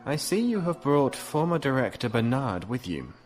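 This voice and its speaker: A man's voice: medium pitch, big pitch swings, normal speed, faint music behind, close to an everyday voice. A man playing a proud, coolly defiant industrialist lord in a science fiction audio drama, formal, clipped and aloof.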